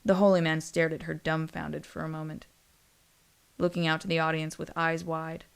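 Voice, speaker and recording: A woman's voice: low-pitched, flat delivery, normal speed, creaky, smooth. A woman narrating a scripted story in a wry, dramatic way.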